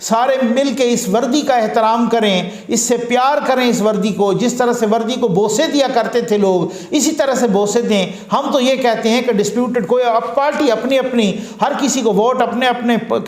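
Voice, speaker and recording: High-voiced man giving political commentary. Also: reverberant. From Pakistan. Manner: earnest, rhetorical, repeats phrases in lists. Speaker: news commentator.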